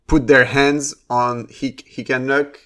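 A man's voice: mid-range voice, flat delivery, slow pace, fairly bright tone. A man hosting a casual podcast interview, asking questions and often stumbling over his words. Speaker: podcast host.

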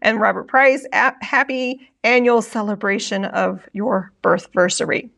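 A woman's voice: medium pitch, steady tone, a little slow, vocal fry, fairly bright tone. A woman in her forties co-hosting a live stream, chatty and laughing often as she reads out viewer messages. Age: 40s. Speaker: co-host reading chat. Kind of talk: live-stream casual chat.

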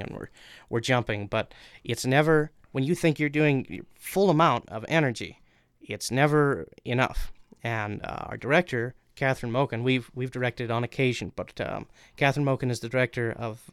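Clear-voiced young man explaining his craft. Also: mid-range voice, no room sound, clean studio sound. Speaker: voice actor. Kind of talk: casual interview talk about voice acting.

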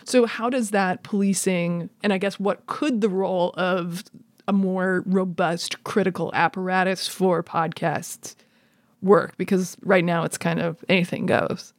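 A woman's voice: fairly low pitch, fairly even intonation, unhurried, dry recording, studio quality. A woman interviewing a guest in a conversational style, framing questions as she goes. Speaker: podcast interviewer.

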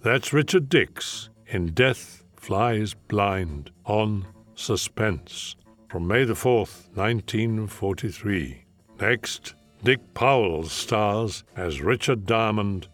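A man presenting a classic radio anthology as host, in a measured, deliberate scripted style. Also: fairly low pitch, animated, soft background music.

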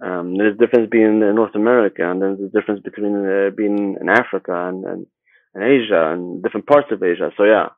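A man from Sweden talking casually as an interview guest, haltingly, often repeating words and rephrasing as he goes. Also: on the low side, no room sound, narrow, tinny audio. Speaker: interview guest, agency founder.

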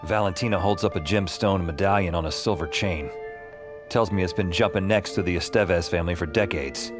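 A man's voice: low voice, fairly quick, over music, close to an everyday voice. An actor playing a weary, wry young man who narrates and lives his own supernatural adventure in an audio drama. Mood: narrating, wry.

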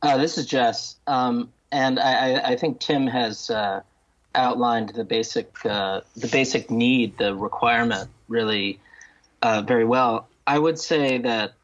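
A man speaking as an author guest in an interview, halting and repeating words as he thinks, on slightly dull audio. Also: mid-range voice, a bit bright. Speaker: guest, novelist and critic. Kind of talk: thoughtful interview discussion.

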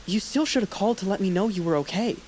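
A man's voice: high voice, fast, a little mellow, a little hiss or hum, natural voice. A man playing an uneasy teenage boy in an audio drama, hesitant and awkward, trying to keep the peace. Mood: worried. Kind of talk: audio fiction drama acting.

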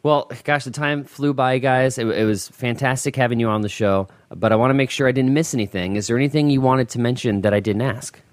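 A man's voice: mid-range voice, fairly quick, hardly any pauses, no echo. A male podcast host chatting casually with guest writers, joking at his own expense and repeating words as he talks. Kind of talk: casual interview chat.

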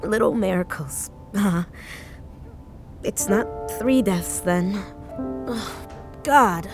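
A woman's voice: medium pitch, takes it slowly, music in the background. A woman in her thirties acting a grieving, weary journalist in a naturalistic audio drama, hesitant and wry in manner. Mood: grieving, upset.

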